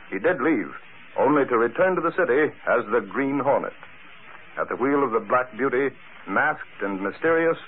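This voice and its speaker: A man's voice: medium pitch, close to an everyday voice. Man doing old timey thriller radio narrator, grave n dramatic, tinny bit of hiss. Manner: emphatic, portentous, measured. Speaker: announcer and narrator. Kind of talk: 1940s radio scripted narration.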